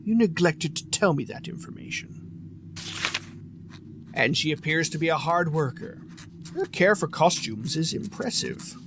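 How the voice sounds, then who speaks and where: A man acting an aloof, commanding pony who rules a theater in an audio drama, speaking in a dry, imperious, measured way. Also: fairly high pitch, expressive, noisy background. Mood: admiring. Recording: music bed behind the voice.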